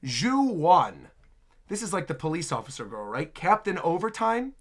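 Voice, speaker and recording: A man hosting a reaction video, chatting casually and excitably as he comments. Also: a little high, moderate pace.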